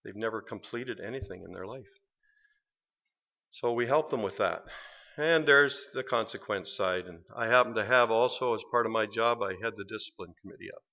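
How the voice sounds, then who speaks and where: Guy talking at church, earnest and plain, bit of dry humor, lowish voice, kinda dull sound. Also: normal speed. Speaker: ministry program staff, discipline head. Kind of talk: church presentation talk.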